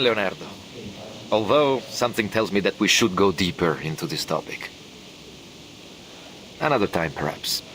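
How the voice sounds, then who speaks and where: Low-voiced, courteous priest. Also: male voice, a little hiss or hum, poor audio. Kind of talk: visual novel voice acting. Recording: music playing loudly underneath. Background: prominent plucked guitar music.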